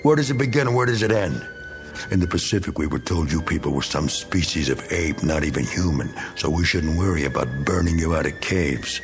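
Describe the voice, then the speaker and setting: An actor playing a bitter, bigoted, self-pitying middle-aged American war veteran in a radio drama, with blustering, needling, slangy tough talk. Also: male voice, extremely low pitch, brisk, soft background music. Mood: defensive. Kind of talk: dramatic radio play acting.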